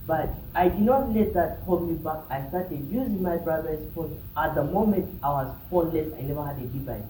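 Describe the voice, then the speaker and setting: A young man from South Sudan with a South Sudanese accent tells a personal story as a vlogger, haltingly and with repeated phrases. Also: fairly high pitch, mellow. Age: young adult. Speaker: vlogger telling his trading journey. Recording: distant mic, roomy echo, background hiss.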